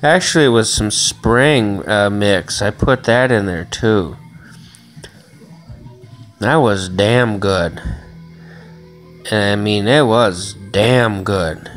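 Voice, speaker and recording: A man's voice: fairly low pitch, slow pace, long pauses, soft background music. A man rambling casually and swearing often in a home vlog, filmed on a handheld phone in a small room. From Chicago area. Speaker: vlogger. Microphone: phone camera held in hand.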